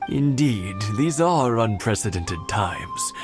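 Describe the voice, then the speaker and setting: A man's voice: mid-range voice, expressive, slow. Kindly, lilting old village man. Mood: warm, grateful. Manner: courteous, gentle. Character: kindly, hospitable elderly village husband. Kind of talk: video game voice acting. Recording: game music behind the voice.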